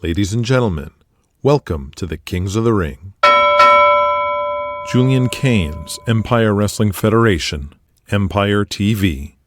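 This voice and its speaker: A man hosting and narrating an audio drama podcast in a steady, measured storytelling manner. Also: fairly low pitch, very noisy. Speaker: narrator and host. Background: music.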